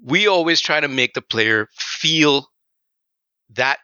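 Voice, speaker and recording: A man's voice: a little high, lively intonation, takes it slowly, pushed and bright, smooth, no echo, muffled recording. A man from Trinidad, a game audio designer, talking casually and animatedly with many fillers in an interview.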